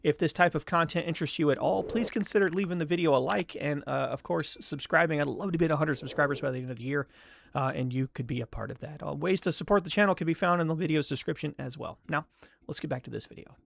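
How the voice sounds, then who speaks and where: A young man chats to camera in a relaxed vlog, candid and self-deprecating, often circling back and restarting his thoughts. His voice sits a bit high with a gravelly edge. The sound is close and dry, with no room around it. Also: fast talker, no room sound. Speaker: vlogger. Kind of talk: casual talking-head vlog.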